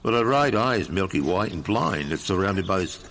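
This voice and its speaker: A man's voice: deep voice, expressive, normal speed, a bit bright, full character voice. An actor playing a dull-witted, gossipy male garden labourer who mutters his questions in a comedy audio drama. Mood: curious, muttering.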